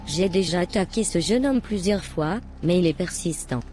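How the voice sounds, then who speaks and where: A woman's voice: fairly low pitch, animated, normal speed, music in the background, close to an everyday voice. A woman voicing a cold, commanding occult grand master who threatens people in a cartoon. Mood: threatening. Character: menacing occult grand master plotting attacks.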